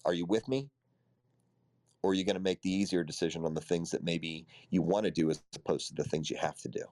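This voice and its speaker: A man hosting a live audio show, energetic and encouraging, calling listeners up to share their stories. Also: deep voice, fast talker, dry recording, clean studio sound. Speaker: host.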